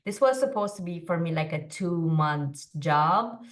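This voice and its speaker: A woman in her thirties, originally from Romania, talks through her career as a podcast guest, quick and chatty, with plenty of "like" and "um". Her voice sits fairly low, and she sounds set back from the microphone. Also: expressive, recorded from a distance. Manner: quick, chatty, many fillers and "like". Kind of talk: casual interview storytelling.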